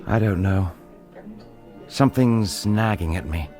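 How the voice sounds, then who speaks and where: A restrained, brooding English gentleman in a radio play. His voice is fairly low and troubled, with soft music at times behind it. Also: expressive, unhurried. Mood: brooding, uneasy. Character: reserved, brooding upper-class English husband. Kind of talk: British radio drama acting.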